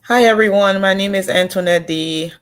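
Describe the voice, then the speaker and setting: A woman's voice: medium pitch, moderate pace. A woman from Liberia introducing herself on a panel discussion in a friendly way. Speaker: panel guest.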